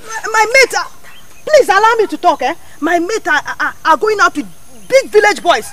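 A young woman acting as a teasing Nigerian village girl, scheming and sassy; high, lively voice, sing-song and coaxing. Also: moderate pace. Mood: scornful. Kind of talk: Nollywood-style drama acting.

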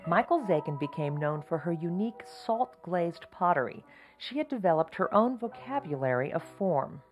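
A woman narrating a documentary in a measured, scripted way. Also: on the low side, big pitch swings, soft background music.

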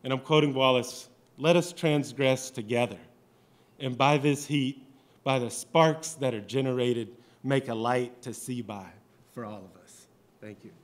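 A man's voice: medium pitch, steady tone, measured pace, slight background noise. Man reading prepared remarks on stage. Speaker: panel speaker. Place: stage of a dark auditorium. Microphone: microphone on a stand at a panel table.

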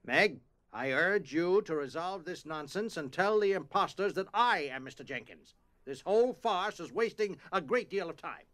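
A man's voice: high-pitched, expressive, normal speed, a bit bright. Man acting pompous, swooping, stuffy school principal. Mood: indignant. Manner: pompous, formal. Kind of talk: audio drama acting.

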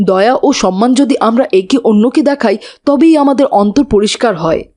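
A woman's voice: fairly high pitch, lively intonation, normal speed, dark tone, lightly acted. A woman voicing a polite, principled child who moralises earnestly in a cartoon.

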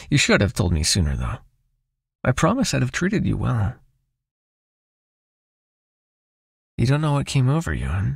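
A man playing a seductive, possessive vampire hypnotist in an intimate ASMR roleplay, soothing and teasing as he gently commands. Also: medium pitch, creaky, slightly rough, dry recording, studio quality, exaggerated voice. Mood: reassuring, teasing.

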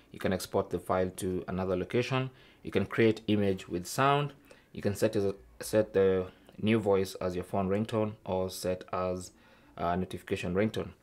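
A man hosting an informal tech tutorial, explaining things casually step by step. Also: deep voice. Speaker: host of a tech tips show.

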